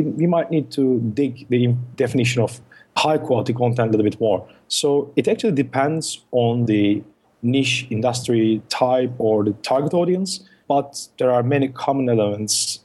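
A man's voice: medium pitch, a little mellow, slightly rough. A man, a guest on a podcast, explaining his work in lists with many fillers and restarts. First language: Turkish. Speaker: guest, search marketing consultant. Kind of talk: podcast interview answers.

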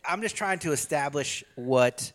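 A man's voice: fairly high pitch, animated, a little slow, a little hiss or hum. A man co-hosting a casual movie talk, joking and swearing freely, riffing and repeating phrases for emphasis. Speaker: podcast co-host.

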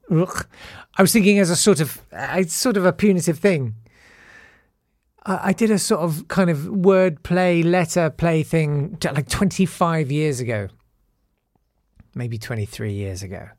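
A fairly high-pitched man talks to his viewers in a loose, rambling way. He mocks himself and trips over his words. His voice sounds close and dry, with no room behind it. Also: no room sound. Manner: self-deprecating, rambling with restarts and fillers. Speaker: YouTube host. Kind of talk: casual rambling monologue.

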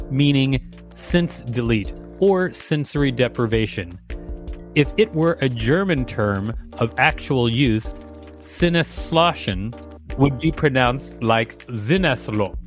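A man co-hosting a podcast, switching between reading an article aloud and casual banter. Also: mid-range voice. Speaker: podcast co-host.

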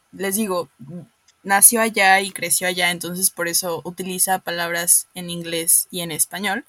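A woman's voice: lowish voice, bright, forceful tone, no room sound. A woman hosting a casual conversation, explaining things directly to her listeners. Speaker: host.

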